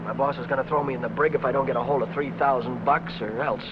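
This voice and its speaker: A man's voice: medium pitch, steady tone, quick pace, highs cut off, natural voice. Desperate young noir man played by an actor, noisy old soundtrack. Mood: bleak, desperate. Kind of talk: 1950 film noir acting.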